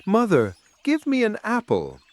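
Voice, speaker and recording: A man's voice: high voice, wildly swooping pitch, a little slow, voice pushed up, full character voice. A man voicing an innocent, easily frightened little girl in a fairy tale, with a plaintive childlike manner. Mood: innocent pleading.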